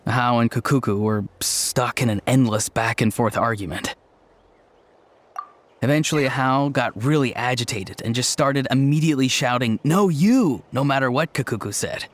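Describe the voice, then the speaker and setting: A man's voice: medium pitch, moderate pace, natural voice. An actor playing an earnest, easygoing young tribesman in a video game, explaining things plainly. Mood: amused, explaining. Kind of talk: video game character acting.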